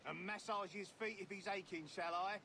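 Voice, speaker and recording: Sarcastic guard, high voice, buried in loud ambience. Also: male voice, steady tone, moderate pace, creaky. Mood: sneering. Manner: sarcastic, sneering. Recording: loud noisy game ambience.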